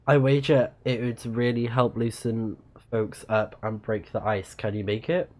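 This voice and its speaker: A man's voice: mid-range voice, flat delivery, measured pace, darkish tone. A man doing casual let's-play commentary on a livestream, often reading in-game text aloud and correcting himself when he stumbles. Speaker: livestreaming gamer and channel host.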